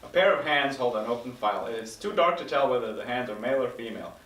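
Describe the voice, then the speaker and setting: A man's voice: medium pitch, lively intonation, quick pace, a bit bright. A man reading stage directions as the narrator of a scripted table read. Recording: roomy echo, distant mic.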